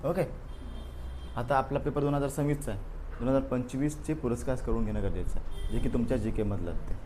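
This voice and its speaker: A man's voice: medium pitch, fairly quick, dark tone. Man, exam coaching teacher, live class, repetitive fillers, coaxing students, faint room noise. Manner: repeats "बरोबर आहे" and "ओके" as fillers, prods students directly. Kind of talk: live class going over an exam paper.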